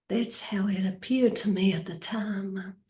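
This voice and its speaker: Fragile, haunted Southern woman, acted by a woman, theatrical monologue. Slow, halting, whispery delivery, echoing room. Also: medium pitch, a little mellow. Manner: halting, breathy, drifting into whispers. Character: fragile, haunted Southern woman recalling doomed young love. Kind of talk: dramatic stage monologue. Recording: echoey room.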